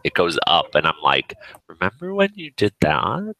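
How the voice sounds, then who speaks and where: A man with a very deep voice in casual chat, telling a personal story in an emphatic, rambling way and acting out what was said. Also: wildly swooping pitch, moderate pace, gravelly, pushed and bright, dry recording. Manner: emphatic, rambling, acts out quoted remarks. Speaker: participant in an online voice chat.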